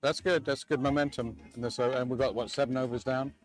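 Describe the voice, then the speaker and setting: A man from England, a cricket co-commentator, chatting in a relaxed way and often agreeing. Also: medium pitch, quick pace. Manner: relaxed, frequent agreeing yeahs.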